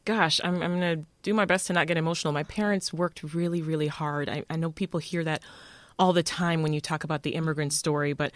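A woman from Jamaica, raised in Canada, telling personal stories in a casual, expressive, emphatic way as an interview guest. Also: low-pitched, quick pace, no room sound. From born in Jamaica, raised in Toronto, Canada. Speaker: radio host being interviewed. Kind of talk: casual conversational storytelling.

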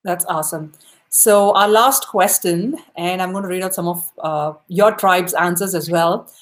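A woman's voice: fairly low pitch, measured pace. A woman hosting a live podcast, chatting casually and encouragingly with her guest, often saying "you know". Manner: upbeat, encouraging, many "you know" fillers. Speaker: podcast host. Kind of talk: casual interview chat.

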